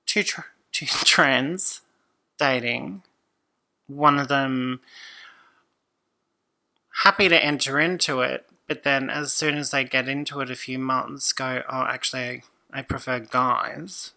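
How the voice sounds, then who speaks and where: A woman, a podcast guest talking casually and thoughtfully, often pausing and restarting. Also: a bass voice, steady tone, vocal fry, bright, forceful tone. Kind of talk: casual conversation.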